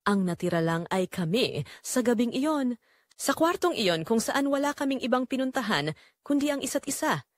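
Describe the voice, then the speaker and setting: A woman narrating as a brooding, guilt-torn man, in slow, deliberate, confessional dramatic storytelling. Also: on the high side, sing-song, dry recording, clean studio sound. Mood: brooding, intimate. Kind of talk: scripted first-person dramatic narration.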